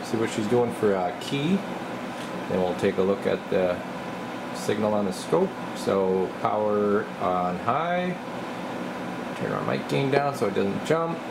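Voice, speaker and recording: Male technician, casual and halting, creaky voice, explaining bench work over noticeable background hum. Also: fairly low pitch, animated. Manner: informal, halting, thinking aloud while working. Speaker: radio repair technician presenting his work. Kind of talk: casual hands-on repair walkthrough. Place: indoor electronics workbench.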